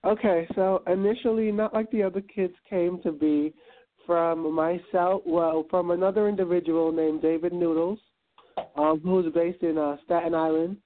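A man's voice: high voice, steady tone, moderate pace. A man from Guyana, a guest on an internet radio interview, speaking in an earnest, reflective way with many ums and uhs. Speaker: guest, event planner and entrepreneur. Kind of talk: motivational interview talk. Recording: tinny phone line.

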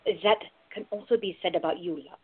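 A woman playing a wry, devoted lover in a radio play, husky-voiced, with dry, understated banter. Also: lowish voice, brisk, no echo. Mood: fond, wry. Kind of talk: amateur radio play acting. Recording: thin phone-like audio, dry.

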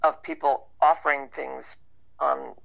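A woman's voice: very deep voice, flat delivery, a little slow, vocal fry. A woman speaking casually and conversationally as a guest in an interview. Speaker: interview guest, actor and writer. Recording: dull band-limited radio call.

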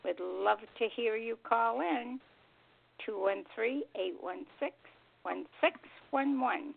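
A woman's voice: fairly high pitch, slow, gravelly. An older woman co-hosting a radio show in casual conversation over a narrow phone line.

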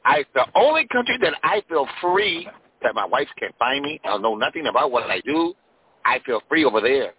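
A boastful caller with a put-on Mexican accent, forever calling everyone "my friend", as a man plays him in disguise. His voice comes through husky and squeezed down a phone line. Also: medium pitch, sing-song, brisk. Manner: repeats "my friend", playful bragging.

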